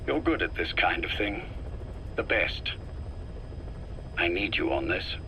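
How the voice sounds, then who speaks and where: An actor playing an ailing, calculating older intelligence chief in a video game, speaking in a measured, deliberate and politely menacing way. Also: male voice, deep voice, big pitch swings, sharp tone, slight background noise, full character voice, low-quality recording. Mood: quietly persuasive. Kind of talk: scripted game dialogue, dramatic acting.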